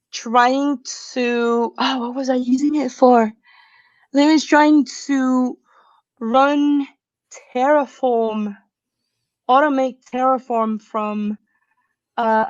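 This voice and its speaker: Woman from venezuela, tech speaker, highish voice, dry dull video-call sound, laughs often. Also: no echo. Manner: laughs often, relaxed and chatty. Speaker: conference speaker.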